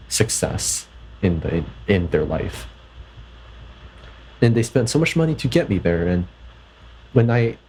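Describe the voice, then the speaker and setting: A man's voice: deep voice, a little mellow, husky, low-quality recording. A young man from Canada in a personal vlog, reflecting thoughtfully and hesitantly, often restarting his sentences. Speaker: vlogger.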